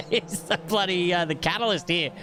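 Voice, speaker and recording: A man's voice: sits high, moderate pace, over music. An Australian man co-commentating a sim race in a rambling, slangy way with chuckles. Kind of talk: laid-back race commentary.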